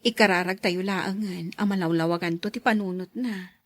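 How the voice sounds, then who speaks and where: A woman playing a gentle, supportive wife in a radio drama, reassuring and sympathetic in a breathy voice. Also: lowish voice, steady tone, normal speed, lightly acted. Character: supportive, gentle young wife, kindly and encouraging. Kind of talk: Ilocano radio drama acting.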